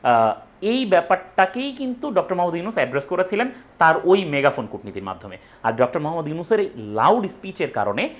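A man's voice: a little high, very animated, fast. A young man presents an explainer, emphatically rephrasing his points and posing rhetorical questions. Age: young adult. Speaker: educational presenter. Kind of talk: explainer talk to camera. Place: small indoor room with bookshelf.

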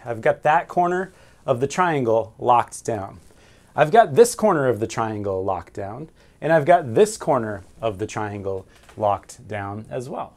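A man's voice: medium pitch, a little mellow. A man in his forties teaching navigation at a whiteboard, explaining step by step and asking and answering his own questions. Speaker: navigation instructor. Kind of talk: whiteboard lesson. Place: small room with framed pictures and signal flags.

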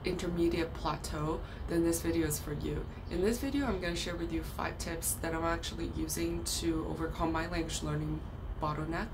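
Young female vlogger, Chinese accent, lower register, earnest explainer tone, outdoor hiss behind her. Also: steady tone, brisk. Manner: earnest, explanatory, occasional ums and restarts. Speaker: language vlogger. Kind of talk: casual talking-head vlog. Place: outdoors by a brick wall.

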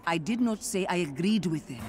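A woman's voice: on the low side, expressive, moderate pace, a little mellow, close to an everyday voice. An actress playing a stern female royal guard general in a video game, formal, blunt and restrained. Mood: blunt, grave. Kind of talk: video game cutscene acting.

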